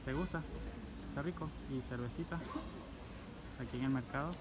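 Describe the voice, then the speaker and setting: A man's voice: medium pitch, normal speed, heavy background noise. A man in his thirties chatting casually in a travel vlog, filmed on a phone at an outdoor Christmas market. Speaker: travel vlogger. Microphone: phone camera. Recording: outdoor market with loud music. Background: loud music.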